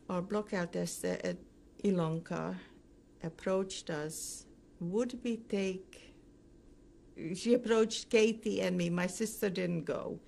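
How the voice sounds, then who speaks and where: Older lady telling her memories for an oral history, slow n halting, creaky voice, bit of background noise. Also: medium pitch, a little mellow. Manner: halting, pausing often mid-sentence. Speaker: Holocaust survivor giving testimony. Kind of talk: recorded oral history interview, recounting memories.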